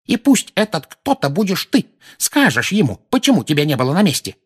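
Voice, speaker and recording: An old woman acted by a male audiobook reader who nags and laments in a high, lively voice. She is fretful and fierce, forever exclaiming and scolding. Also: brisk, vocal fry, slightly rough, full character voice. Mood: furious.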